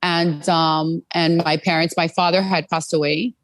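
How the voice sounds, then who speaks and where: A woman's voice: sits low, fairly even intonation, unhurried, no room sound. A middle-aged American woman telling her personal story as an interview guest, earnest and full of "you know" fillers. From United States.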